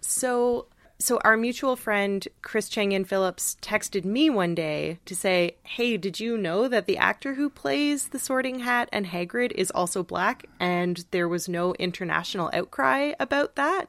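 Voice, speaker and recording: A woman's voice: mid-range voice, expressive, unhurried, a bit bright, dry recording. A woman co-hosting a podcast in casual, informal discussion, often checking for agreement as she explains. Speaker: podcast co-host.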